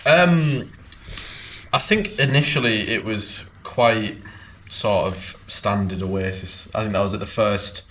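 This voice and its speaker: A young man talks about his music in a relaxed, wandering way. His voice sits on the low side, and faint pub ambience hums behind him. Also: slow pace, slight background noise, low-quality recording. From Teesside, North East England. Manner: relaxed and rambling, with frequent ums and likes. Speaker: guest singer-songwriter. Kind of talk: casual pub chat.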